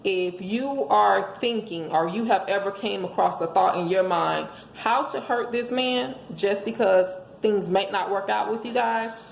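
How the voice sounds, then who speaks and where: A hoarse woman giving an emphatic, preachy motivational monologue, speaking as a host handing out relationship advice to listeners. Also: medium pitch, measured pace, few pauses. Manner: emphatic, preachy, rhetorical questions. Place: indoors at home. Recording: echoey room with faint background noise.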